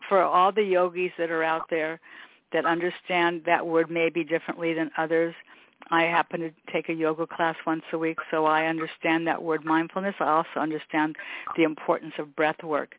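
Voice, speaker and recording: A woman hosting her own podcast, chatting warmly with a guest in an upbeat, talkative way. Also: deep voice, level tone, normal speed, dry recording. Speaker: podcast host. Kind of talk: interview with scripted intro. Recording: thin phone-like sound, dry.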